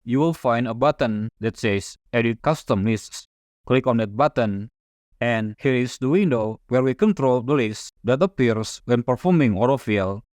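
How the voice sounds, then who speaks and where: A man whose first language is Indonesian, calmly narrating a step-by-step software tutorial over a screen recording. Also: medium pitch, normal speed, dry recording, clean studio sound. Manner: calm, methodical step-by-step explaining.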